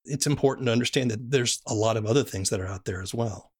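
A woman hosting an interview, thoughtful and measured, with the odd playful aside. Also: extremely low pitch, a little mellow, husky. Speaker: podcast interviewer. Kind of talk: conversational interview.

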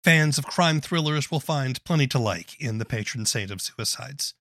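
A man's voice: medium pitch, animated, moderate pace, a bit bright, dry recording. A man hosting a podcast, asking prepared questions and reading scripted narration. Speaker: podcast host.

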